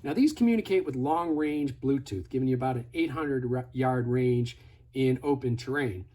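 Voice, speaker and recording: A man walks the listener through a product demonstration. His voice sits at a medium pitch with a somewhat husky, unpolished edge. He explains each step in a casual, conversational and instructive manner. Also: moderate pace, darkish tone.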